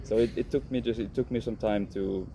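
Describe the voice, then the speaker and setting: Man, thirties, gravelly, flat delivery, frank and unhurried, noisy background. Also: lowish voice, dull audio. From Finland. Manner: calm, frank, thinks aloud with restarts. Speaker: professional footballer. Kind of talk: interview answers.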